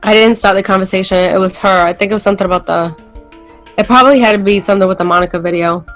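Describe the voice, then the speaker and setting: A woman's voice: mid-range voice, fairly even intonation, very fast, a bit bright, faint music behind. A woman from New England with a New England accent, a guest telling her story in a casual podcast interview, rambling and full of fillers and restarts. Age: adult with school-age kids.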